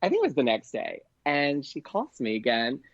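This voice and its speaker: A man chats through an anecdote as a podcast guest in an excitable, gushing way. He peppers it with "like" and voices the dialogue as he goes. The sound is dry and somewhat dull, with a lo-fi edge. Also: medium pitch, normal speed, vocal fry, no echo. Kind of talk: casual anecdote, chatty storytelling.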